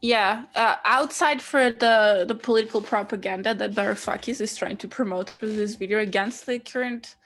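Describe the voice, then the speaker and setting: A woman's voice: mid-range voice, normal speed, few pauses, a bit bright. A woman with a Greek accent co-hosting a casual podcast over a video call, explaining history with plenty of fillers and restarts. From Greece. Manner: rambling, many fillers and restarts while explaining. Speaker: podcast co-host.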